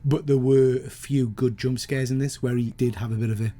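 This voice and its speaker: A man's voice: mid-range voice, fairly even intonation, fairly quick, dark tone. A man co-hosting a horror movie review show, talking informally and conversationally to his co-host and giving his opinions. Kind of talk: casual, opinionated film review chat.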